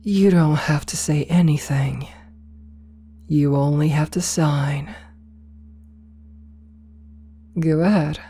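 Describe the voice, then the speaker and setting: A woman playing a possessive, domineering rich CEO in an ASMR roleplay, speaking softly and coaxingly with condescending sweetness, slipping into whispers. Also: deep voice, fairly even intonation, exaggerated voice. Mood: sweetly controlling. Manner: slow, soft, breathy coaxing, slipping into whispers.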